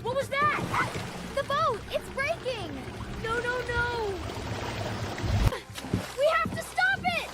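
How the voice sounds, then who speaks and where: A performer voicing a young child in an animated adventure, with an urgent, exclamatory delivery. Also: extremely high pitch, slow pace, long pauses, full character voice. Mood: panicked, urgent. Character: frightened, urgent young cartoon child at sea.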